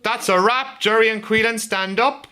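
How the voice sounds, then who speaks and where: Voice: mid-range voice, normal speed, bright, forceful tone, a little hiss or hum. An adult with an Irish accent presenting a playful children's puppet show, exclaiming and coaxing in a singsong way.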